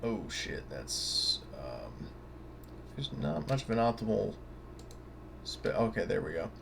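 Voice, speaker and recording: A man's voice: on the low side, very animated, slow pace, creaky, a bit bright. A man giving an informal, off-the-cuff tabletop game tutorial, rambling casually and thinking aloud over a noisy recording. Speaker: tabletop game guide presenter. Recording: noticeable background noise.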